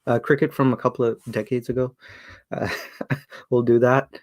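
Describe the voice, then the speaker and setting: A man's voice: mid-range voice, little pitch movement, normal speed. Hesitant man hosting a casual livestream. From Sri Lanka. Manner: hesitant, many fillers and restarts, chuckles often. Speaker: livestream host reading chat.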